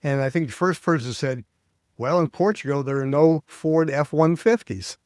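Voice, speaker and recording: An older American man, a public speaking coach, chatting as an interview guest and telling stories with lively quoted voices. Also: on the high side, moderate pace, dry recording, clean studio sound. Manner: animated storyteller, acts out quoted voices. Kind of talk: casual interview chat.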